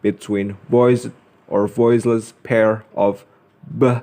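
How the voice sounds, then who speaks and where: A young man reads a scripted lesson as a student presenter, carefully and haltingly, pausing in the middle of phrases. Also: lowish voice, level tone, dark tone. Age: young adult.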